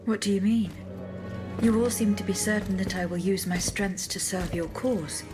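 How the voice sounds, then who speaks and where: A woman's voice: mid-range voice, moderate pace, close to an everyday voice. Lady playing robot warrior woman in a game, composed, short formal lines, music behind. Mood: guarded. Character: reserved, determined female automaton warrior. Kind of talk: video game cutscene acting.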